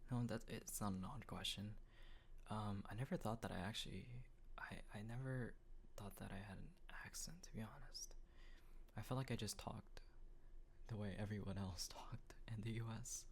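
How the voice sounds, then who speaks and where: A man, a voice actor, answering fan questions in a soft, half-whispered way, hesitant and full of pauses. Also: lowish voice, a little hiss or hum. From Texas, US. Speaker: voice actor, channel host.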